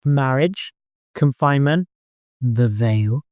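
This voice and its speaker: A young heroine in an audiobook , acted by the narrator, frightened yet defiant. The delivery is earnest and emotional at a middle pitch, recorded close and dry. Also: slow, a little mellow, no room sound, natural voice.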